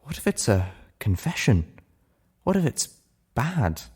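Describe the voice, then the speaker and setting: A man voicing a meek, anxious female pony in a storybook reading, hesitant and soft. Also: mid-range voice, very animated, measured pace, breathy edge, voice pushed up, full character voice. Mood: meek, worried.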